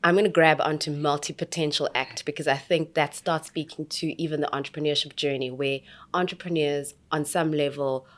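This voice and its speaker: Woman interviewer, low voice, lively questions for a business guest. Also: brisk, hardly any pauses. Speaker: interviewer, coach at entrepreneurship centre. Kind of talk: conversational interview.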